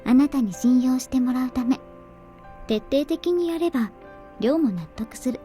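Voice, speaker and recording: An actress voicing a cool, blunt but quietly earnest young woman in a visual novel, with a matter-of-fact, understated manner. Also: sits high, animated, quick pace. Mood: earnest, slightly put out. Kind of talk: Japanese visual novel voice acting. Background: game music.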